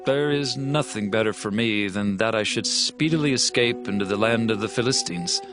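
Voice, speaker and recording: A man's voice: fairly low pitch, normal speed, lightly acted. Wary fugitive warrior over music, played by a man. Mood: brooding resolve. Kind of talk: dramatized scripture acting.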